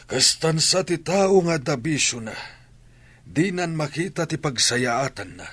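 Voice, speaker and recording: A man's voice: a little high, animated, a little slow, fairly bright tone, breathy edge, dry recording, full character voice. A man with a Filipino accent playing a stern older father in a radio drama, reproachful and admonishing. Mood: dismayed. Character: stern older father scolding his gambling grown child. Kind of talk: Ilocano radio drama acting.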